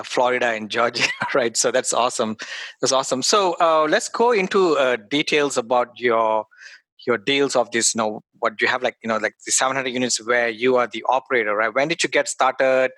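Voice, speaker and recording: A man's voice: medium pitch, a bit bright, no echo. Eager male podcast host, dry sound. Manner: eager, repeats words, laughs often. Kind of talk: interview talk.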